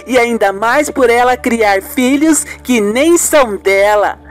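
A woman's voice: fairly high pitch, slow pace, soft background music. A woman playing a blunt, loyal young niece in an amateur radio soap, earnest and outspoken. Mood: indignant.

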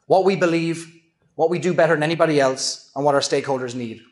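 A middle-aged man giving a conference keynote, earnest and measured, with self-deprecating asides. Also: fairly high pitch. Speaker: keynote speaker. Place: large event hall with an audience. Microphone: handheld microphone at a lectern. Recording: echoey hall.

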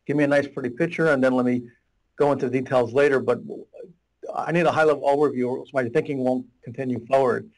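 A man's voice: medium pitch, fairly even intonation, quick pace, low-quality recording. A middle-aged man, a data warehouse architect, explaining at length as a guest on a video call, with many restarts, from a small office. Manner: rambling, restarts, gestures a lot while explaining. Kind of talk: casual explanatory interview. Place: small office room with whiteboard. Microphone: video call webcam.

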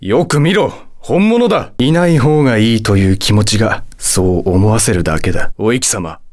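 A man's voice: fairly low pitch, lively intonation, moderate pace, a little mellow, natural voice. A man voicing a haughty, hot-tempered, lovestruck demon lord in a video game, with a theatrical, condescending delivery. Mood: irritated, commanding. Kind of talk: Japanese video game character voice acting.

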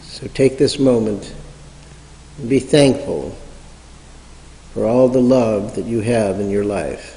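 Mellow man leading prayerful meditation. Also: on the low side. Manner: slow, measured, reverent, long pauses. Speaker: meditation leader. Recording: reverberant hall sound.

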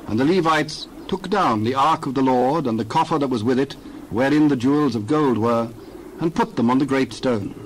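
A man giving a dramatized Bible reading in a steady, formal way. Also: medium pitch, a little hiss or hum, poor audio. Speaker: Bible reader.

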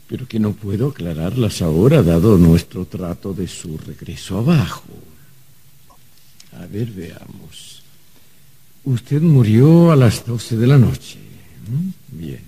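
A husky, mellow male archangel in an old-fashioned radio drama. He is officious and formal, laying out rules with deliberate, exasperated patience. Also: fairly low pitch, lively intonation, heavily acted. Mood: officious, pondering.

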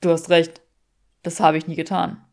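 A woman's voice: low voice, level tone, moderate pace, a little mellow, pitched below the performer's own voice. A woman voicing a haughty young aristocratic man in an audiobook, teasing in a dry, mock-dramatic way.

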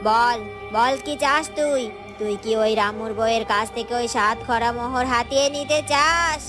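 A woman's voice: a little high, normal speed, over music, lightly acted. A woman voicing a sinister, boastful witch in a fairy-tale cartoon, with a commanding, self-satisfied manner. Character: sinister boastful witch who worships dark powers.

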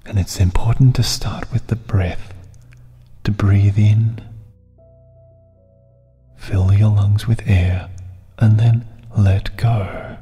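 A man softly narrating a hypnotic guided meditation in a soothing, deliberate way, with breathy phrases and long pauses. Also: fairly low pitch, fairly even intonation. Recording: long reverb, music bed underneath. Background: music.

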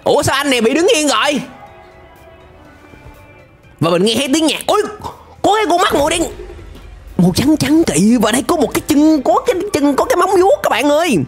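A man's voice: very high voice, big pitch swings, brisk, long pauses, soft background music. A young man with a southern Vietnamese accent giving playful, exclamatory reaction commentary in a casual, chatty way on a close microphone. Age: young adult. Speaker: reaction video host. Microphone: close desk microphone with headset.

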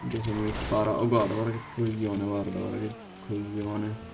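A man's voice: on the low side, monotone, moderate pace, creaky. An Italian man bantering casually in an online game, insistent, argumentative and foul-mouthed. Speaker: gaming video creator trolling in online role-play. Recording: noisy game voice chat.

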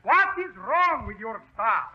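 An actor playing a genial Russian immigrant sculptor with a Russian accent and broken English in a 1930s radio comedy. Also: male voice, very high-pitched, very animated, measured pace, husky, full character voice. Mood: complaining. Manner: broken English, mangled words. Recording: muffled 1930s radio recording.

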